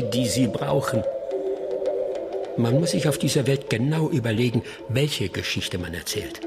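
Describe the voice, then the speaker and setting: An actor playing a village hunter who tells a tale in a reflective, deliberate way, in a radio drama. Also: male voice, mid-range voice. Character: village hunter telling a tale, measured and sly. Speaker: village storyteller. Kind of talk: audio drama storytelling.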